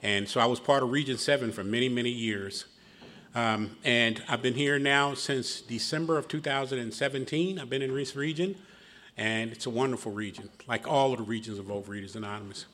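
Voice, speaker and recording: A man's voice: medium pitch, fairly quick, a bit bright. A middle-aged man from Philadelphia speaks with the accent of that city, telling his recovery story to a room of listeners. His talk is folksy and self-deprecating, and he chuckles at his own jokes. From Philadelphia, Pennsylvania. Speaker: guest speaker at a recovery meeting. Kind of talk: personal testimony speech. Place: meeting room before an audience.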